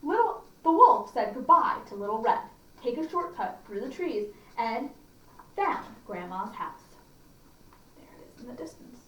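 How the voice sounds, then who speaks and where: A woman tells children's stories in a highish, very lively voice that rises and falls freely. She slips in chatty asides and questions as storytime host, a little distant from the mic in an echoey room. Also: unhurried, dull audio, slight background noise. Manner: expressive, questioning asides to listeners.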